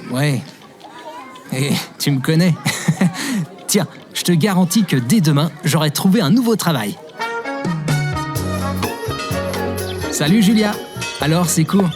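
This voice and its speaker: A man's voice: on the high side, expressive, unhurried, long pauses. An actor playing a clumsy, good-hearted young man in a French audio drama, hesitant and boyish in his manner. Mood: gentle, candid. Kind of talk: French audio fiction acting with narration. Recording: music behind the voice. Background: music.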